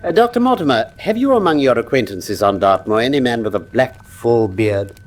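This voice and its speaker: An actor playing a commanding British gentleman detective in a radio drama, with precise, clipped, formal diction. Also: mid-range voice, expressive, fairly quick, lightly acted. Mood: probing. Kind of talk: classic radio drama acting.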